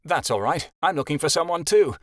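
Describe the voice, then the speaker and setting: A man's voice: on the high side, animated, dry recording, studio quality, close to an everyday voice. A man playing a cheerful young male scout. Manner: cheerful, brisk.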